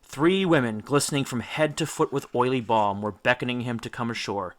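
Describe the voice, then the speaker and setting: A man reading an audiobook as dramatic storybook narration, theatrical and deliberate. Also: medium pitch. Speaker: audiobook reader.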